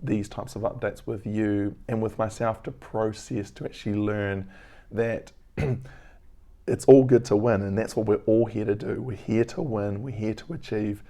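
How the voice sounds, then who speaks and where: Hoarse man in his 30s, crackly and low, mellow tone, earnest and reflective vlogger. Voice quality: crackly voice, frequent throat clearing. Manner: earnest, reflective, rambling with fillers. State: hoarse, lost voice. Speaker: business owner vlogger. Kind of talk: casual weekly vlog update. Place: at home.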